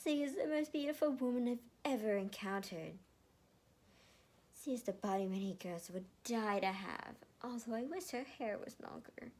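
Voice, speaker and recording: Female reader as gushing fantasy makeover lady, fussy, proud, raised pitch. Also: normal speed, a little mellow, lightly acted. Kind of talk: dramatized fan fiction reading.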